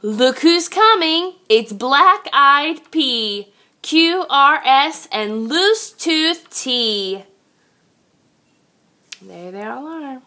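High, bright, slow woman reading to children. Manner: expressive, sing-song, addressing young children. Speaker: teacher reading aloud to children. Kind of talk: children's picture-book read-aloud.

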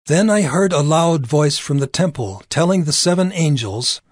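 A man narrating dramatized scripture in a solemn, deliberate manner. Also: on the high side, animated, no room sound, studio quality.

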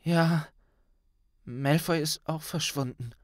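A man voicing an earnest young witch, careful and gentle, in a fantasy audiobook. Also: fairly high pitch, steady tone, slow pace, no echo, studio quality, pitched above the performer's own voice. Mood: meek.

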